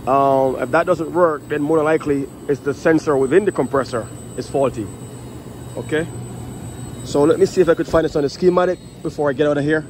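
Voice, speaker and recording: A man's voice: fairly high pitch, fairly quick. A man working as an HVAC technician talks through a repair job in a casual, filler-heavy way while filming with a phone outdoors. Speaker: HVAC technician and channel host. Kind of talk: hands-on troubleshooting walkthrough. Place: outdoors at a rooftop unit. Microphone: phone camera held in one hand. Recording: phone audio with loud outdoor noise.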